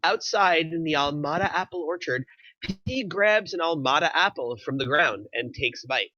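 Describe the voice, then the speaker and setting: A man's voice: a little high, animated, normal speed, sharp tone, highs cut off, a little hiss or hum. A man reading screenplay stage directions aloud as the narrator of a table read.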